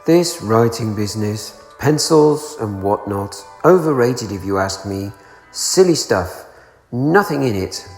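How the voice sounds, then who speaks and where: Storybook donkey, male reader: glum, pompous, slow and drawn out, gravelly edge, echoey sound. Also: lowish voice, animated, a little mellow, faint music behind. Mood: dismissive. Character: gloomy, self-important old grumbling donkey.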